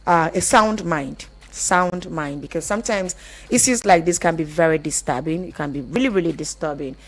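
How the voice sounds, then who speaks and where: A woman with a Nigerian accent hosting a radio show in an expressive, conversational way, asking rhetorical questions. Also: low-pitched, normal speed. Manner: expressive, rhetorical questions, some Pidgin phrases. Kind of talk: conversational radio presenting with storytelling.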